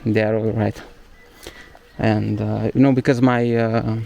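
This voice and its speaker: A man's voice: lowish voice, level tone, measured pace, creaky, dark tone, a little hiss or hum. Chatty young man with a Romanian accent. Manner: chatty, hesitant, searching for English words. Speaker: travel vlogger. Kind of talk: casual walking vlog narration. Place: outdoors in a village.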